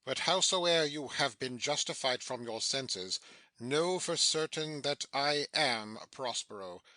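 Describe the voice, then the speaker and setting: A man playing a dignified, commanding older duke in a dramatic Shakespeare audiobook, speaking in a stately, deliberate way. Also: medium pitch, lively intonation, pushed and bright, no room sound, poor audio.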